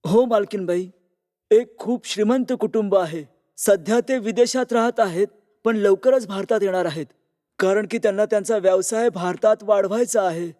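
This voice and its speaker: Man acting a high, mellow, deferential old servant. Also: moderate pace, natural voice. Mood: eager, respectful. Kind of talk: cartoon moral story dialogue. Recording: long reverb on voice.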